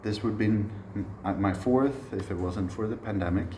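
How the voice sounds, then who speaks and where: A low-voiced man in his thirties, originally from Sweden, talks through a masterclass in a reflective, unhurried way, pausing often. The room has a noticeable echo, with faint hiss underneath. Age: 30s. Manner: relaxed, reflective, frequent "uh" pauses. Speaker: musician teaching a masterclass. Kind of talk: informal spoken lecture.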